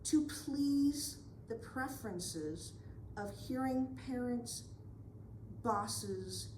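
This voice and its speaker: Slow woman reading in an echoey room. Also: a little high, animated, noisy background. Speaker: forum moderator. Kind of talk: reading a prepared question.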